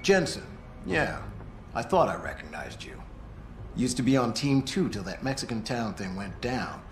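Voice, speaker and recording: An actor playing a jaded, sarcastic police tactical officer in a video game cutscene, with a needling manner. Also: male voice, mid-range voice, very animated, normal speed. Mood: sardonic, needling. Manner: sardonic, needling. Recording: roomy echo, faint ambient noise.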